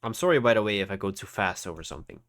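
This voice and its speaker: A man's voice: fairly low pitch, expressive, very fast, fairly bright tone. A man hosting a casual live tutorial, congested from hay fever, explaining informally and often checking that listeners follow. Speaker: trading livestream host and teacher. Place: voice-over on an online livestream.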